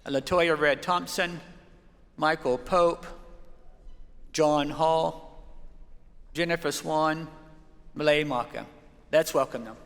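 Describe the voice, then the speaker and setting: A man from the Great Plains presiding over a church conference session, speaking haltingly with many fillers. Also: on the high side, fairly bright tone. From Great Plains, United States. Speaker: presiding officer. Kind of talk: chairing a plenary session. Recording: long reverb in a large hall.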